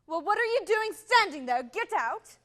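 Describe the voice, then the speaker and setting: An actress playing a proud, furious young widow who lashes out in shrill outbursts on stage. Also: female voice, big pitch swings, normal speed, a bit bright, exaggerated voice. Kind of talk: stage play performance.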